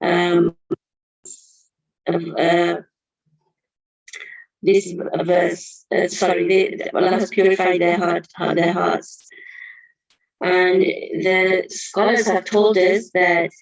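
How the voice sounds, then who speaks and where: Woman teaching a religious lesson, earnest, gravelly voice, kinda dull lo-fi sound. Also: on the low side, little pitch movement, drawn-out words, long pauses. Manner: earnest explanatory teaching, reverent honorifics. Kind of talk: Qur'an study lesson.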